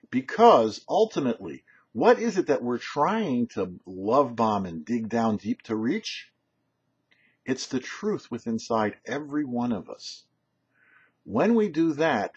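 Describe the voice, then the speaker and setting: An older man giving a short religious teaching as a rabbi, emphatic, with rhetorical questions and pauses. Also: medium pitch, husky. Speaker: rabbi and host of a weekly Torah show. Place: small home office, indoors. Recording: dull, lo-fi webcam sound.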